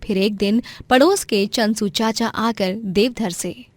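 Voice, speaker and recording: A woman's voice: medium pitch, slow, a little mellow, dry recording. A woman narrating a scripted moral story in a dramatic storytelling style.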